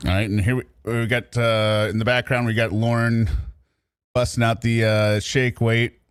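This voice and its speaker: A man's voice: medium pitch, level tone, normal speed, clear, resonant tone, no room sound. A man giving casual, mocking reaction commentary with sarcastic, exasperated and profane asides. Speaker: commentator reacting to recorded calls.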